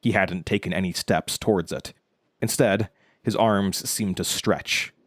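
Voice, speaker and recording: An actor playing a grim narrator who tells his own horror story in a measured, scripted storytelling manner. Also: low-pitched, dry recording, studio quality. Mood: measured, eerie.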